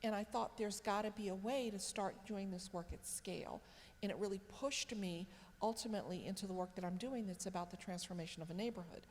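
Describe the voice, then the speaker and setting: A woman moderating a conference panel, lively and conversational. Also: medium pitch, fairly quick. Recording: long reverb of a hall, faint ambience.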